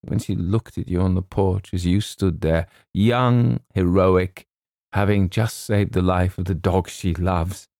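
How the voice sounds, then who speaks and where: A man's voice: low-pitched, fairly even intonation, a little slow, a little mellow, dry recording, studio quality. Man playing a sentimental, faltering old English colonel. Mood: mournful, noble. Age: older. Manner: hesitant, repeats himself, stumbles over names. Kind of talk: theatrical audiobook character voice.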